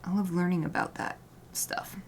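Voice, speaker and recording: A woman artist chatting casually during a livestream as she paints, rambling and often dropping to a soft murmur, with light laughter. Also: lowish voice, little pitch movement, moderate pace, slight background noise. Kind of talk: casual chat while painting.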